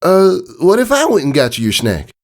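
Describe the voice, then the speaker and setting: A small, pleading girl pony from a storybook, as a man puts her on. The pitch is lifted very high and jumps about. She coaxes hesitantly and sounds anxious. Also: wildly swooping pitch, normal speed, full character voice. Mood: worried pleading. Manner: hesitant, coaxing.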